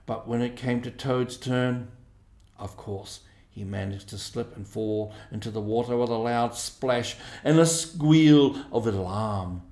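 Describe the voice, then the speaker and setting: In a roomy, echoing space, a man reads a storybook aloud. His delivery is slow and measured, with expressive storytelling and a medium-pitched voice. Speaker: audiobook reader. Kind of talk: scripted storybook narration.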